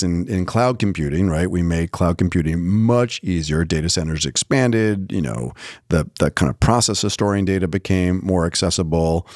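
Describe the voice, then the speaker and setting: A man's voice: sits low, darkish tone, no room sound, studio quality. A man co-hosting a podcast, talking in a casual, explanatory and chatty way. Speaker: podcast co-host. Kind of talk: casual conversational podcast talk.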